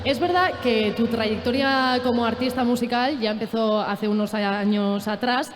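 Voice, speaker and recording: A woman's voice: fairly high pitch, normal speed. A woman co-hosting a live festival interview in a casual, chatty style. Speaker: radio co-host, interviewer. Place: festival radio set. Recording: reverberant live sound, music behind.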